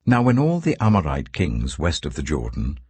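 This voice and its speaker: Deep, husky male scripture narrator. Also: wildly swooping pitch, a little mellow, dry recording. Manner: solemn, measured storytelling with deliberate phrasing. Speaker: Bible narrator.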